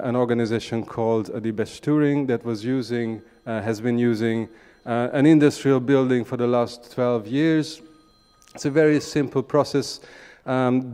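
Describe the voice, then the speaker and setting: A man's voice: mid-range voice, darkish tone, smooth. Hungarian-accented man speaking in an echoey hall. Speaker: conference speaker, urban regeneration practitioner. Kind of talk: conference presentation, semi-spontaneous. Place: large indoor conference hall. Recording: echoey conference hall, long reverb.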